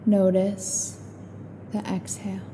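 A woman leads a guided meditation with a very mellow, relaxed voice. She speaks slowly and evenly, with little rise or fall in pitch. Her delivery is calm and soothing, with long pauses between gentle instructions. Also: slight background noise.

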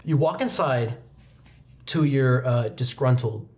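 A man's voice: medium pitch, slow. Young man narrating a tabletop game. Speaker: game master. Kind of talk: improvised tabletop roleplay narration. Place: indoor studio set.

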